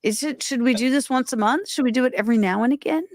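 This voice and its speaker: Woman streamer chatting, kinda high voice, dry sound, rambles and cuts herself off. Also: fast talker, no room sound. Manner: rambling, self-interrupting, easily sidetracked. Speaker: livestream host. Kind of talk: casual livestream chat.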